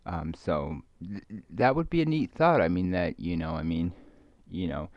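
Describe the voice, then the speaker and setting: A man rambling casually in a hesitant, halting, musing way, often trailing off as he thinks aloud. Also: low voice, animated, no echo. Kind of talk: rambling casual monologue, ASMR roleplay. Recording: dull lo-fi close dry recording.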